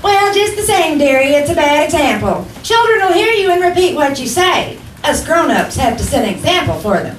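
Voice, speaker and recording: A woman's voice: high voice, sing-song, a little fast, few pauses. A woman playing an exasperated but affectionate wife who chides her husband, in 1940s-style radio comedy. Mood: gently scolding. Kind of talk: 1940s radio comedy acting, live stage. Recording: echoey distant stage pickup, clear noise.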